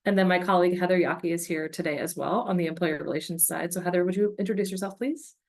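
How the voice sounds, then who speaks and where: A woman moderating a career webinar panel, prompting speakers politely and briskly. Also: lowish voice, fairly even intonation, a little mellow.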